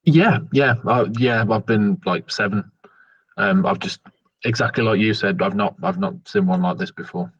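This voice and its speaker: A man's voice: fairly low pitch, normal speed, breathy edge, dry recording. A man improvising in-character banter as a player in a tabletop game, heard over a lo-fi internet call. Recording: dull, lo-fi internet call audio.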